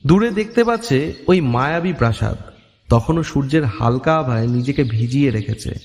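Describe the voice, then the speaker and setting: A man's voice: mid-range voice, expressive, moderate pace, a little mellow. A man narrating a horror story in a dramatic, atmospheric way. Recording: long reverb, music underneath. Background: music.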